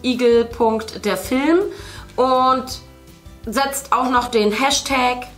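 A woman in her thirties with a fairly high voice talks casually to children watching her video. She explains things directly to them as a family channel host. The room adds clear echo, with some music behind her. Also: fairly even intonation, drawn-out words. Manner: speaks to children, addressing viewers directly. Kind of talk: casual chat, explaining to viewers while painting. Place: indoor room at a table. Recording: roomy sound, faint music.

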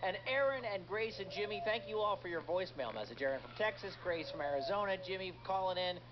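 A male radio host talking to kids, upbeat and chatty, with lively swings in pitch. The recording is dull, picked up off a speaker with clear noise around it. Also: fast. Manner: animated, chatty. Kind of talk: upbeat kids' radio hosting.

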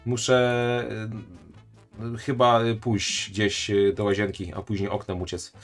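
A man's voice: fairly low pitch, level tone, measured pace, faint music behind. A man live-streaming his reaction to a video, chatting and joking with his viewers. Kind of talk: casual live-stream commentary.

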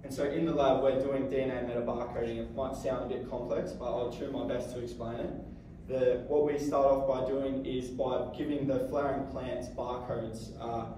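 A man's voice: medium pitch, level tone, moderate pace. A young man giving a graduate research talk in a lecture theatre, earnest and plain, with many uh fillers. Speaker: graduate student presenter. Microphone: room mic from far off. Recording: very echoey hall from a distance, audible room noise.

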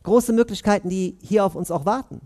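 Man, 30s, imam's Friday sermon; earnest, questioning delivery, high voice, headset mic. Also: warm tone. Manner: earnest, questioning, pauses between phrases. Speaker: imam giving Friday sermon. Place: mosque prayer hall, indoors.

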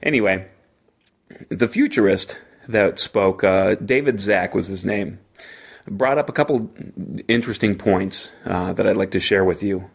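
Podcast host, male, low gravelly voice, stuffy from a cold, rambling with lots of fillers, slight hotel-room echo. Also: unhurried. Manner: rambling, many fillers and restarts. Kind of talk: solo podcast monologue.

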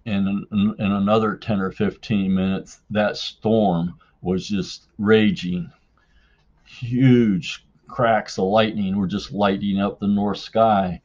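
A man telling a personal story casually in an unhurried, folksy way, as in a listener's voicemail. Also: on the low side, flat delivery, a little mellow. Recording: dull, lo-fi voicemail-like sound.

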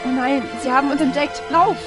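High-voiced cheeky young lad, played by a woman. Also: fairly even intonation, a little fast, over loud music, close to an everyday voice. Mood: brash, urgent. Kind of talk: amateur audio drama acting.

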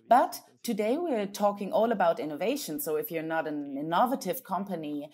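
Low-voiced woman interpreting a conference talk. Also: a little mellow. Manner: measured, with frequent hesitations and self-corrections.

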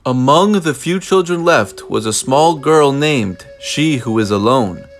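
A man reading a children's picture book aloud in an expressive way, with deliberate, dramatic phrasing. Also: mid-range voice. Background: music.

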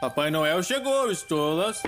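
A man's voice: a little high, expressive, measured pace, over music. A man with a Brazilian accent voicing a crude, volatile little demon imp in a dubbed adult cartoon, brash and emotional. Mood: brash, teasing. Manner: brash, foul-mouthed, emotional.

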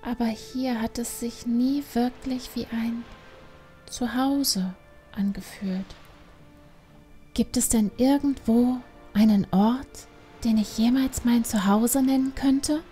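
A woman's voice: on the high side, fairly even intonation, slow, dark tone, soft background music. A woman playing a restless, defiant young panda adventurer in a dramatised fantasy audiobook, emotional and reproachful. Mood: wistful, frustrated.

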